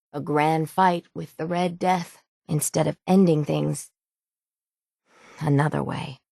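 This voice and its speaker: A woman playing a gruff, world-weary, sardonic island sailor in a video game, with salty, plainspoken folksy phrasing. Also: low-pitched, a little mellow, no echo, clean studio sound. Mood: weary, resigned. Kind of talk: video game character dialogue.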